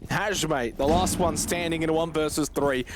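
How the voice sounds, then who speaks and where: Male esports commentator over clear background noise. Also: a little high, normal speed. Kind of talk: live play-by-play and analysis of a shooter match.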